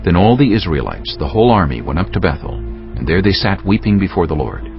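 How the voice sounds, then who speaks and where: A man's voice: sits low, big pitch swings, fairly quick, lo-fi sound, faint music behind. A man narrating dramatized scripture as a solemn storyteller.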